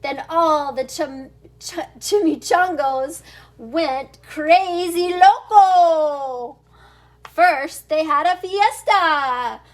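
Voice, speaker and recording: High-voiced older woman reading slowly. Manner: stumbles over words, chuckles as she reads. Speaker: storybook reader. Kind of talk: expressive children's read-aloud narration.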